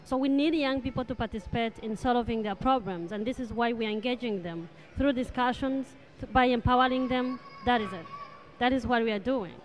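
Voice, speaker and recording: Lady guest, kinda high voice, slow halting earnest answers. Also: rough recording. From Rwanda. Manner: halting, earnest, with pauses and fillers. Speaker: guest, charity president. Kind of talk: interview answers.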